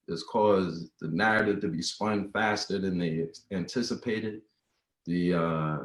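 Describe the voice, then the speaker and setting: A man speaking on a panel discussion, reflective and hesitant, pausing often. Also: low-pitched. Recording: echoey room.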